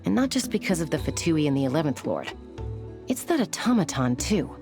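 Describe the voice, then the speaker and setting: Low-voiced, wary young scout. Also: female voice, big pitch swings, over music. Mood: wary, serious. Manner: measured, guarded. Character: wary, serious young woman scout, protective and skeptical. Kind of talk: video game voice acting.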